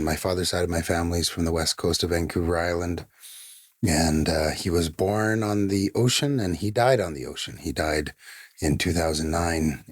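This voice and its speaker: Man, podcast guest, very low voice, thoughtful, dry close sound. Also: husky, no room sound. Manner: thoughtful, plays devil's advocate. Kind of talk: podcast interview.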